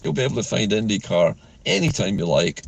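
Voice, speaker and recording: A man, hoarse from a chest infection, hosting a measured, earnest political vlog from inside his car. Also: on the low side, sing-song. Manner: measured, earnest, with frequent fillers. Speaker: political vlogger host. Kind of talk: talking-head political commentary.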